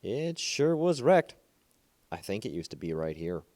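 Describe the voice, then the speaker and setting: Puzzled male villager, read by a male narrator: earnest, emphatic, animated storybook dialogue. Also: fairly low pitch, a little slow, no echo. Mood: concerned, puzzled. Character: concerned, puzzled village men talking things over.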